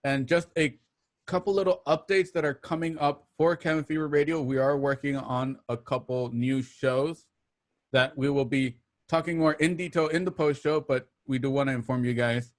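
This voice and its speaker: A man's voice: a little high, fairly even intonation, normal speed. Casual male radio show host. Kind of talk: casual sign-off announcements to listeners.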